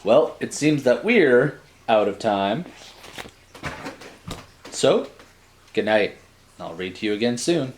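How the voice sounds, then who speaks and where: A man's voice: mid-range voice, sing-song, slow, pauses often, a little hiss or hum. A man narrating a story like a storybook, theatrical and dramatic, with wry asides.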